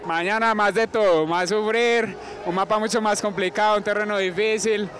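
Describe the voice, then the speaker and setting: A young man, giving an energetic post-race interview outdoors on a handheld mic. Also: sits high, moderate pace. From Colombia. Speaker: orienteering athlete. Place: outdoor sports field in a town.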